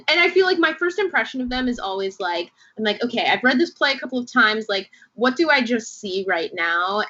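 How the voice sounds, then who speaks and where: A woman's voice: medium pitch, bright, forceful tone. A woman, an actor, talking casually and reflectively, hesitant as she thinks aloud. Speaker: actor in a play. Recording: slightly dull, lo-fi audio.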